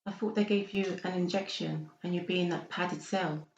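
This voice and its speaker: A woman's voice: lowish voice, steady tone, normal speed, slight background noise, close to an everyday voice. A woman playing a blunt, sceptical friend who questions everything, in a dramatised audiobook. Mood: concerned, questioning. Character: Sam, blunt, sceptical female friend and fellow escapee. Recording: roomy, slightly dull sound.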